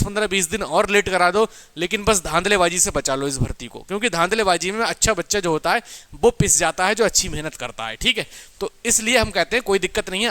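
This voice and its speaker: A man's voice: high-pitched, pushed and bright, clear, resonant tone. A man teaching an informal exam strategy lesson, repeating points and answering his own questions. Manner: repetitive, asks questions and answers them himself.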